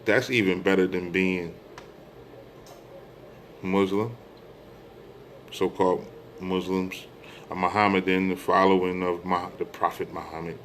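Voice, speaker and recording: A man's voice: low voice, steady tone, a little slow, long pauses, a bit bright, a little hiss or hum. A middle-aged American man giving an informal religious monologue, speaking emphatically, repeating phrases and posing rhetorical questions. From United States. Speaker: religious speaker addressing online followers.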